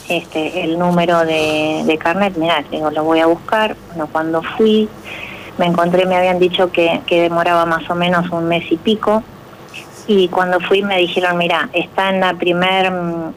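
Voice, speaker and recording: A middle-aged Argentine woman being interviewed on the radio, with a distinctly low voice. She speaks haltingly, searching for words, over faint background noise. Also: fairly even intonation, low-quality recording. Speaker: interview guest, pilates instructor.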